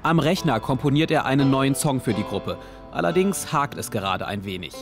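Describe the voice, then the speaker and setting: A man narrating a television documentary in calm, even scripted voice-over. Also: medium pitch, fairly quick, over music.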